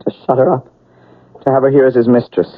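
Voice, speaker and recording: An actor in a radio drama playing a bitter, uneasy younger brother who is a murderous accomplice, tense and questioning. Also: male voice, medium pitch, little pitch movement, quick pace, no room sound, highs cut off, natural voice. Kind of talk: 1970s radio drama acting. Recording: thin, band-limited old radio broadcast.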